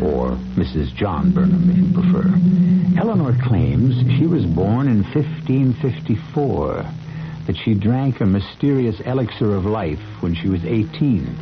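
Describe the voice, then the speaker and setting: A man hosting a 1970s radio drama, narrating in a theatrical, measured, wry way. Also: fairly low pitch, big pitch swings. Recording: muffled vintage radio recording, music behind.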